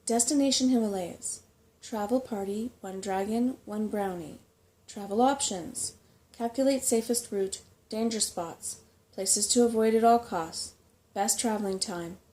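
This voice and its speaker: Woman voicing a fussy, know-it-all old rat, soft-edged. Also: mid-range voice, a little slow, close to an everyday voice. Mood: chatty, confident.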